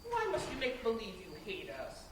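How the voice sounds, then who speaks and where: A woman in an amateur stage play acting a warm, bossy, meddling middle-aged mother who scolds and coaxes in a projected voice. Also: mid-range voice, huge pitch swings, normal speed. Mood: scolding, coaxing. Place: college theatre stage. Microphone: far camera. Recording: distant camera, echoey theatre, noisy.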